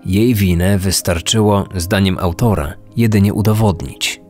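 Man, lowish voice, serious true-crime narration, scripted, faint music behind. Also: steady tone, measured pace, darkish tone. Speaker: true-crime podcast host.

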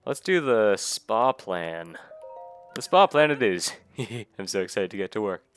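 A man's voice: on the low side, animated, a little slow, smooth, soft background music. A man reading as a gushing, flirty desert innkeeper woman. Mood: eager. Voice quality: nasal. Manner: gushing, chatty sales talk. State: recovering from a cold.

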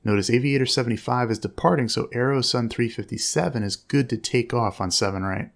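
Male instructor, mid pitch with creaky edge, measured explanatory narration. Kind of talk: explanatory commentary on air traffic audio.

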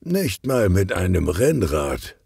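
Man voicing a calm gravelly police detective. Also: deep voice, no room sound, studio quality, full character voice. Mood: resigned. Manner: unhurried, reassuring, murmuring. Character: calm, good-natured, portly male police detective.

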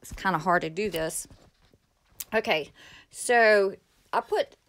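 Middle-aged woman, plainspoken and self-correcting, crisp bright voice, phone at arm's length in a small room. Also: mid-range voice, slow. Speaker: homesteading vlogger. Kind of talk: casual DIY walkthrough. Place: small indoor pantry room. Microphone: phone held at arm's length.

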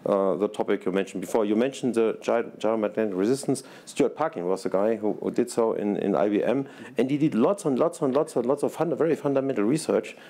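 A man's voice: on the low side, fast, few pauses, warm tone. A man in his sixties with a German accent, speaking earnestly as a panelist, repeating himself and searching for words, on a large conference stage. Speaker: research institute president, panelist. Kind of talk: panel discussion remarks. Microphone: lapel mic.

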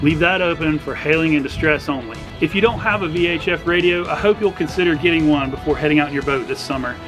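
A man's voice: on the high side, fast talker. A middle-aged man presenting an instructional explainer to camera, talking through things step by step. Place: outdoors on a docked boat at a marina. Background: background music.

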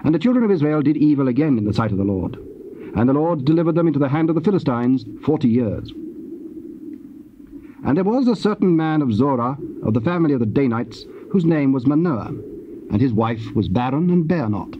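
Guy reading bible narration, solemn n measured, mid voice, dull old tape sound. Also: mid-range voice, lively intonation. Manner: measured, formal. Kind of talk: solemn scripted scripture narration. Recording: muffled old cassette, faint hiss.

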